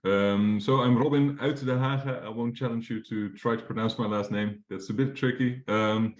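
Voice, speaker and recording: A young man from the Netherlands walks webinar viewers through mortgages in a loose, hesitant way, with plenty of fillers and restarts. His voice sits very low. The sound is roomy and echoey. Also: fairly even intonation, normal speed. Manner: casual, frequent fillers and restarts. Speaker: mortgage advisor. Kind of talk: webinar presentation.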